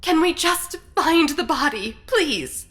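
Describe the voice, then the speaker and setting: A woman's voice: high-pitched, animated, slow pace, a bit bright, slight background noise, heavily acted. A woman playing an impatient, arch dinner guest with a dry manner in a comedy audio drama.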